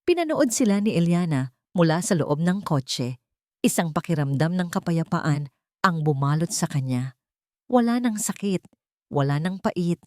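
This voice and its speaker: A woman with a Filipino accent reading a scripted dramatic story in a sentimental, measured storytelling delivery. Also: lowish voice, big pitch swings, warm tone, dry recording, clean studio sound.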